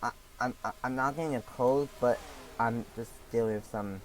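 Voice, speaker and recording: Raspy young man calling a sim race. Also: mid-range voice, a little hiss or hum, poor audio. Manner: halting, with many fillers and restarts. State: raspy from allergies. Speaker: league race commentator. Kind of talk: play-by-play sim racing commentary.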